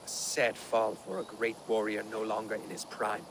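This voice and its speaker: An actor playing a grave Viking leader in a video game, speaking in a measured, formal, solemn way. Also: male voice, lowish voice, flat delivery, fairly bright tone, a little hiss or hum. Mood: solemn, pained. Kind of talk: video game cutscene acting.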